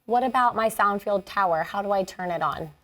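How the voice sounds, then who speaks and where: A woman's voice: mid-range voice, brisk, fairly bright tone. Curious American woman asking questions. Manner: curious, plainly read questions. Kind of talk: scripted question-and-answer dialogue.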